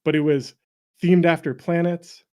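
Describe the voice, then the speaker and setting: A man's voice: fairly high pitch, steady tone, moderate pace, mellow, clean tone. A man chatting casually as an interview guest, an indie game developer and streamer with a jokey, self-deprecating manner who often laughs. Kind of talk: casual chat.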